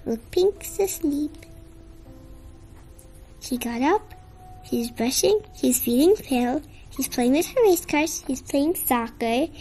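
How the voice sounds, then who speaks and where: Very high, slow-reading child's voice. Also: lively intonation, dark tone, faint music behind, rough recording. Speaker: storybook reader. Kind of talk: children's picture book read-aloud.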